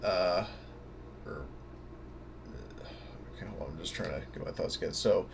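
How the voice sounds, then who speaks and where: A man giving a casual, unscripted tutorial in a hesitant, halting way, thinking aloud as he goes. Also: deep voice, vocal fry, husky. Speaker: tutorial presenter.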